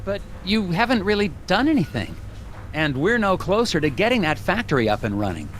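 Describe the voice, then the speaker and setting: Earnest federal investigator, animated game, lively higher voice, puzzled, rumbling noise behind. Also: male voice, fairly quick. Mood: frustrated. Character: earnest, puzzled male FBI agent investigator. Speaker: FBI agent.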